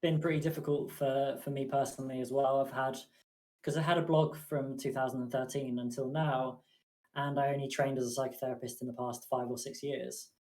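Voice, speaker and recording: A man who is a psychotherapist, speaking in a measured, reflective way on a podcast. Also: mid-range voice, rough recording. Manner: measured, thoughtful, even-toned. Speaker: psychotherapist and poker player.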